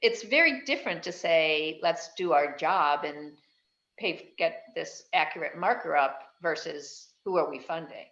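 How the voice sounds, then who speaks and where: A woman with a lowish voice joins the committee meeting over a video call. Her audio sounds echoey and muffled. She talks conversationally, hedges her points and repeats words as she goes. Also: unhurried, a bit bright. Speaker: committee member. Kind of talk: public committee meeting discussion.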